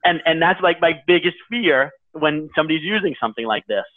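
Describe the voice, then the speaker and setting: A man co-hosting a podcast in casual chat, with a loud, carrying voice and a rambling way of talking full of restarts. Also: on the high side, lively intonation, fairly quick, dry recording. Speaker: podcast co-host. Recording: tinny phone-like line.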